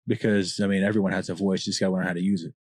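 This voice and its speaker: A man with a deep voice, a rapper talking as a podcast guest, passionate, swearing often and rambling in long answers. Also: little pitch movement, racing, darkish tone, no room sound, clean studio sound. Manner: passionate, profane, long-winded. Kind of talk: casual candid chat.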